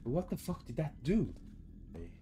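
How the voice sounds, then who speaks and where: A man's voice: on the high side, very animated, moderate pace, mellow, soft background music. A young man giving casual, informal let's play commentary, thinking aloud and swearing as he plays. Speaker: gaming video host. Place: small room indoors at home.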